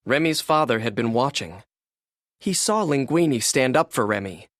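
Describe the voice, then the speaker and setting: Male narrator for kids' read-along book, animated delivery with wide pitch movement, dry recording. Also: moderate pace, dry recording. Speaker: storybook narrator.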